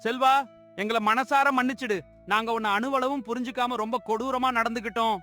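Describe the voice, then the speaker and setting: A man's voice: extremely high pitch, fairly even intonation, brisk, sharp tone, soft background music. A man playing a remorseful, gossiping village labourer in a dramatised moral story.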